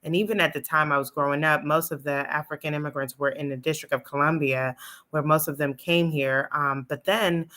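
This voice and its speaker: A woman's voice: low-pitched, steady tone, brisk, pushed and bright. A woman from the United States, an attorney guest, answering interview questions in a talkative way with frequent ums.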